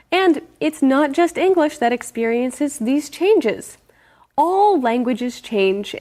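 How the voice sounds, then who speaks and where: High-voiced woman presenter. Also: dark tone. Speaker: segment presenter. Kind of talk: scripted narration.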